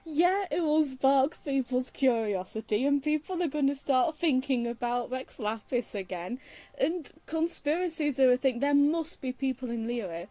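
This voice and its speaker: A woman's voice: sits high, animated, moderate pace, mellow, no room sound. A woman giving casual, rambling playthrough commentary in a teasing, exasperated, giggly manner. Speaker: streamer playing a story game.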